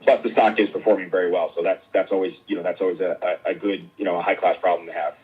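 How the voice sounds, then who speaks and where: A man's voice: low-pitched, flat delivery, fast, narrow, tinny audio. A man calling in as a financial analyst, casual in style and often repeating words as he starts a phrase. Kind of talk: casual questioning on an earnings call.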